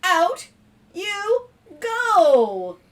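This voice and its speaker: A woman's voice: very high voice, wildly swooping pitch, very slow, pitched above the performer's own voice, exaggerated voice. A woman voicing a horrified storybook parent who shrieks in a dramatic, bossy way at a spider. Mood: alarmed shriek.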